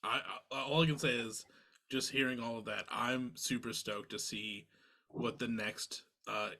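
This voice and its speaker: A man's voice: mid-range voice, fairly even intonation, unhurried, creaky, sharp tone. A man hosting a podcast, chatting with a band in an eager, filler-heavy way. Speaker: podcast host. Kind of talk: casual interview chat.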